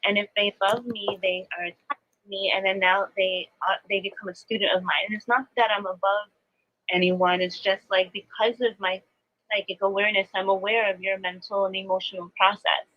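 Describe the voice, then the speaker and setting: A woman's voice: mid-range voice, flat delivery, brisk, highs cut off. A woman speaking as a spiritual teacher, explaining earnestly and reflectively in new-age language during a live coaching talk. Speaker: spiritual teacher, astrology adviser.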